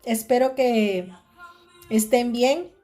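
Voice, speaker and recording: Woman, Honduran Spanish accent, fairly high voice, chatty devotional talk, soft music behind. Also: slow. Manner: conversational, many restarts and tag questions.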